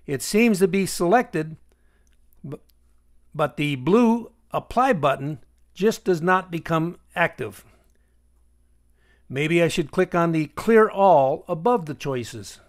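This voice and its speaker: A man narrating an instructional software screencast as its host, explaining step by step in a measured way. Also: on the high side, expressive.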